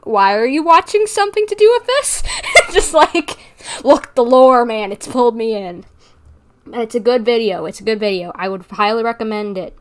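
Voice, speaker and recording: A woman with a fairly high voice hosts a game livestream. She talks casually to her viewers while she plays, rambling along in a chatty, giggly way. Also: lively intonation, normal speed.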